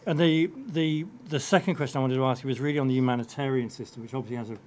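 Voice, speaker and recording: Middle-aged guy chairing a panel, stop-start delivery, medium pitch, faint room noise. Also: fairly even intonation, a little mellow. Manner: halting, repeats opening words. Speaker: panel moderator. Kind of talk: moderating a panel discussion. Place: conference room, indoors.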